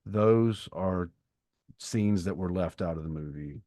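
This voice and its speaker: A man in his fifties co-hosting a podcast, talking reflectively and thoughtfully with pauses between phrases. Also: deep voice, dark tone, no echo, studio quality. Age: 50s. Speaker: podcast co-host. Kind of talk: reflective conversational podcast talk.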